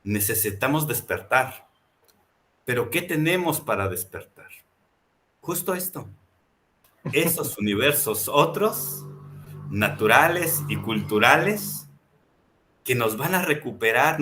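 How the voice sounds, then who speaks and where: A man's voice: mid-range voice, expressive, unhurried, bright, forceful tone. A man presenting a book in a reflective, impassioned talk, building his points through rhetorical repetition. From Mexico.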